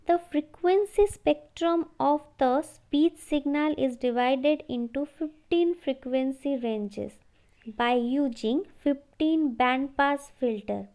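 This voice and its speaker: A woman lecturer explaining technical theory from slides in a measured, instructional way. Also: sits high, darkish tone.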